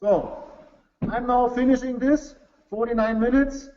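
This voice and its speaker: A German-accented man with a fairly high voice presents to a webinar audience, earnest and halting as he restarts his non-native English. The audio is dull and lo-fi. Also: steady tone, mellow. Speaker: company executive presenting to a webinar.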